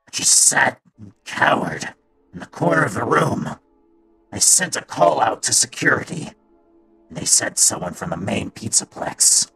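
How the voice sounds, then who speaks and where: A performer playing a guilt-ridden male robot daycare attendant in a fan comic dub, halting and sombre, with a gravelly voice that drops into whispers. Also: medium pitch, pushed and bright, exaggerated voice, poor audio. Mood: anguished guilt.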